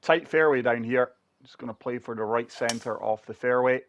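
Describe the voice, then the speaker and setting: A man's voice: mid-range voice, normal speed, highs cut off, slight background noise. A man chatting through a golf video game, struggling for breath with a chest infection. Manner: chatty, talks viewers through each shot. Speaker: gaming channel host. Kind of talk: casual golf game commentary.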